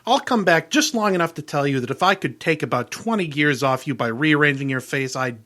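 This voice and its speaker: An actor playing a fed-up, insolent chauffeur in old-time radio style, defiant, brash and taunting. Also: male voice, on the high side, fairly quick, sharp tone.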